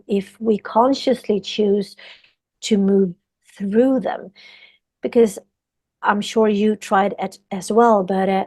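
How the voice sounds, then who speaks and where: A woman's voice: mid-range voice, creaky, darkish tone, dry recording. A woman from Sweden with a Swedish accent, a yoga teacher talking earnestly as a podcast guest and repeating phrases for emphasis. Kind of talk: podcast interview.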